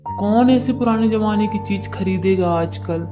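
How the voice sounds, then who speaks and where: A woman's voice: medium pitch, a little slow, music in the background, close to an everyday voice. A woman voicing a scornful, dismissive villager in a cartoon folk tale. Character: scornful villager dismissing a potter's old-fashioned wares.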